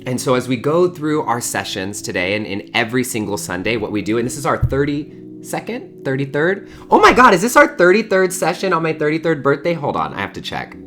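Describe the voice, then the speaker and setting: A man's voice: medium pitch, sing-song, brisk, fairly bright tone, faint music behind. A man in his early thirties hosting an informal online spiritual community gathering, talking effusively and repeating words for emphasis. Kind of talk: informal livestream talk to a community.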